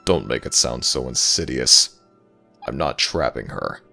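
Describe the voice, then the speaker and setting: A man voicing a grave, guarded god of the dead in an audio roleplay, with a deep gravelly growl and measured, formal speech. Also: sing-song, sharp tone, faint music behind, heavily acted. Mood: guarded, defensive.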